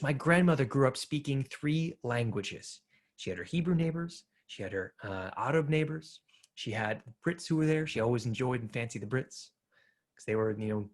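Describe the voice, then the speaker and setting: A man speaking earnestly as a panel speaker, answering audience questions with frequent hesitations. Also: mid-range voice. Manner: earnest, argumentative, frequent filler "uh".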